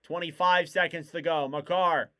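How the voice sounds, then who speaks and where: Bright-voiced young man calling hockey. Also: on the high side, moderate pace. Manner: excitable, rising to shouts on goals. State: losing his voice. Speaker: live-stream hockey commentator. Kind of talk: play-by-play commentary.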